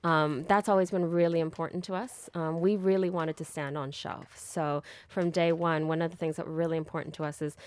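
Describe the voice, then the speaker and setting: A woman from Canada with a North American accent, pitching her snack company enthusiastically with many fillers. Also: flat delivery, fairly quick, few pauses, darkish tone, clear, resonant tone. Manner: enthusiastic, with frequent fillers and restarts. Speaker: food company founder pitching a product. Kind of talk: business pitch conversation.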